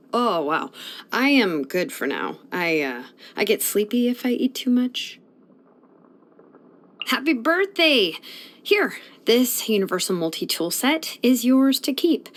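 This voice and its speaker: An actress voicing a laid-back, sleepy young female blacksmith in a video game, with a relaxed, drawling, casual way of talking. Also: medium pitch.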